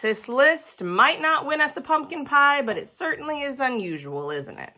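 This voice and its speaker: A woman voicing a weary, patient male schoolteacher in a storybook, measured, stern but kindly and gently exasperated. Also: sits high, lively intonation, pitched above the performer's own voice. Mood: dry, patient.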